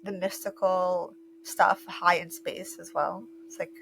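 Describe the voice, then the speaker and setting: A woman's voice: fairly low pitch, little pitch movement, a little slow, vocal fry, fairly bright tone, slight background noise, low-quality recording. A woman hosting a podcast, asking hesitant questions full of fillers. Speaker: podcast host. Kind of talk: casual conversation.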